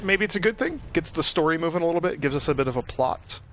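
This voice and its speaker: A man's voice: fairly high pitch, expressive, fast talker, a bit bright, slight background noise. A man giving casual, understated and wry commentary as he plays through a video game. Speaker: let's play host. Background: game soundtrack.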